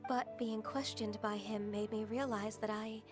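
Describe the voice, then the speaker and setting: A woman voicing a gentle, earnest half-immortal maiden in an anime video game, speaking in a soft, polite, formal and hesitant way. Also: mid-range voice, fairly even intonation, unhurried, over music, lightly acted. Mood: troubled, hesitant.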